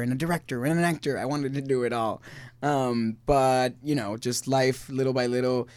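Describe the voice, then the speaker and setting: A man's voice: mid-range voice, a little mellow, dry recording. A young man from Argentina, an actor chatting as an interview guest in an earnest, self-deprecating way. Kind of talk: casual interview chat.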